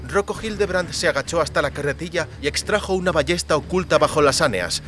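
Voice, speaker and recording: A male narrator reads fantasy fiction in a dramatic, scripted style. His voice is a little higher than most men's, and faint music plays behind him. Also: fairly bright tone. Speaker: audiobook narrator.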